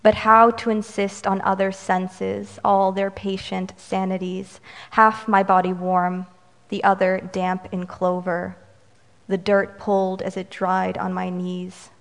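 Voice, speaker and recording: A Romanian-American woman whose first language is Romanian, reading her poems slowly and measuredly and adding brisk, wry remarks between them. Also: medium pitch, monotone, gravelly. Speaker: poet. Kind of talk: poetry reading with commentary. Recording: reverberant hall.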